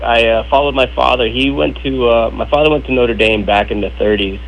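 A man in his sixties calling in as a council candidate, telling his story in an easygoing, halting ramble. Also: mid-range voice, vocal fry, rough recording. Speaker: council candidate, phone guest. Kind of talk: phone-in interview. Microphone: phone line.

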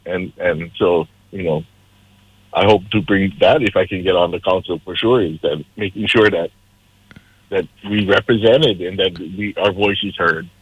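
A man's voice: sits low, normal speed, breathy edge, dry recording. A man from Hawaii running for council, speaking as a radio guest in an earnest, persuasive way. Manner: earnest, persuasive, civic-minded. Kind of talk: casual radio conversation. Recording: slightly dull lo-fi sound.

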